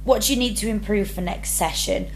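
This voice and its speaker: A woman's voice: medium pitch, fairly quick, a little hiss or hum. A young woman drama student, talking earnestly through her rehearsal blog and reading each question aloud before answering. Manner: earnest, many ums, reads prompts then answers.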